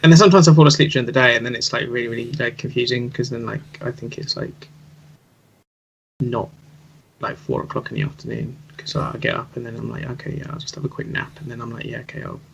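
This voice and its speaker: A man's voice: mid-range voice, fairly even intonation, quick pace, gravelly, a bit bright, a little hiss or hum. A man chatting casually in a blunt, rambling way over dull online call audio. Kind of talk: casual chat.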